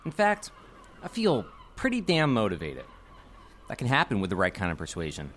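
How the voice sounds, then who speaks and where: An actor playing an eager, persuasive young theater director, smooth-talking and playful, in an audio drama. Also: male voice, on the low side, wildly swooping pitch, normal speed, fairly bright tone, a little hiss or hum. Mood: defiant, motivated.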